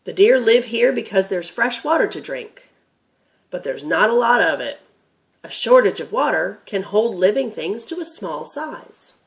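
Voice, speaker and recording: A woman's voice: mid-range voice, very animated, measured pace, gravelly. A woman voicing a patient, knowledgeable grandfather who explains things emphatically in a children's storybook reading. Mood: patient, explanatory.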